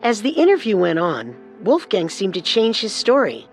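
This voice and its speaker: A man with a fairly high voice narrates a scripted true-crime story as co-host. He explains details in a storytelling way, with soft music in the background. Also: wildly swooping pitch, normal speed.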